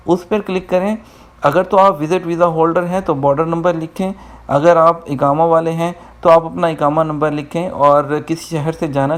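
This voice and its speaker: A man presents an informal news update, explaining things directly to viewers. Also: a little high.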